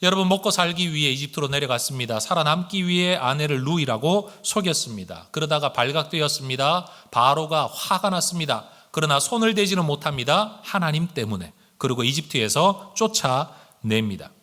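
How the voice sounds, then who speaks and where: Pastor guy preaching, kinda high pitched, bright clear tone, keeps asking the congregation questions. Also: expressive, moderate pace, few pauses. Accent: standard Korean, with regional intonation slipping in when speaking fast. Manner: earnest, addresses the congregation directly with rhetorical questions. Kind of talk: Sunday morning sermon.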